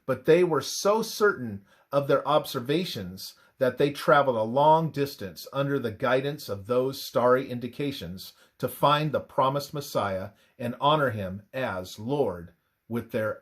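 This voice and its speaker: A man reading a scripted religious narration aloud in a deliberate way, halting between short phrases. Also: medium pitch, lively intonation. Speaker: reader of a religious presentation.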